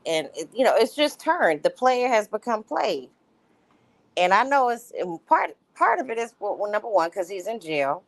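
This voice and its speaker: A woman's voice: mid-range voice, big pitch swings, moderate pace, vocal fry. A woman hosting a livestream, reading messages aloud and chatting casually in commentary. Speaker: livestream host.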